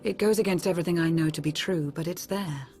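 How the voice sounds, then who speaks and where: An actress playing a composed, earnest female advisor in a space saga game, with formal, measured speech. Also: on the low side, over music, close to an everyday voice. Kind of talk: scripted game cutscene acting.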